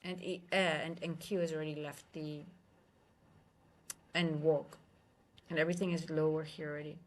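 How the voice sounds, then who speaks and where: A woman's voice: low voice, level tone. Dutch-accented woman talking through live trades. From Netherlands. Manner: thinking aloud, halting, hums to herself. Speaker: trading stream host. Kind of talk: live trading commentary.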